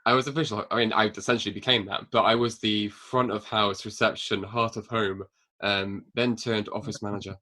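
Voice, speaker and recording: Man, podcast host, from northern england, fast talker, bright voice, teasing. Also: lowish voice, steady tone. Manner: chatty, teasing, quick jokes. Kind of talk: casual interview chat.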